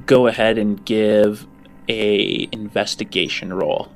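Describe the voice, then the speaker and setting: Man, low pitch, game master, halting improvised narration, faint background music. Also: fairly even intonation. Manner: halting, searching for words.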